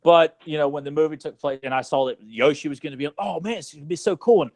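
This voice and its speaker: A man's voice: on the high side, quick pace, darkish tone. Rambling man chatting casually. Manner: rambling, full of asides. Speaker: podcast co-host. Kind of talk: casual movie chat.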